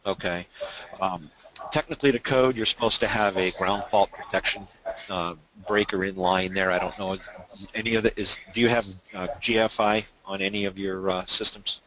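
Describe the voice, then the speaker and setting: Guy with a deep forceful voice presenting a tech webinar, bit of background noise. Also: steady tone, moderate pace, highs cut off. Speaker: webinar presenter, technical expert. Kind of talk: casual technical explanation with questions.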